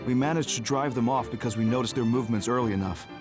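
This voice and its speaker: A composed, serious male major general, voiced by an actor for an anime game. He speaks in a measured, understated manner at a middle pitch, over clear background music. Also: close to an everyday voice. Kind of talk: English anime game voice acting.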